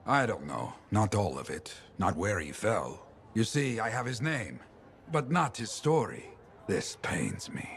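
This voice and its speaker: An actor playing a wistful, courteous male storyteller in a video game, reflective and gently philosophical. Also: fairly low pitch, full character voice. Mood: grieving. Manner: expressive, reflective, measured. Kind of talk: video game dialogue acting. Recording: clear ambient noise behind the voice.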